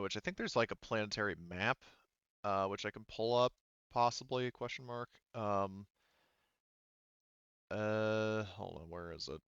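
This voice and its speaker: Low, smooth-voiced man as game master. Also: fairly bright tone, no room sound, muffled recording. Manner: halting, casual, thinking aloud. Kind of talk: improvised narration of a tabletop roleplaying game.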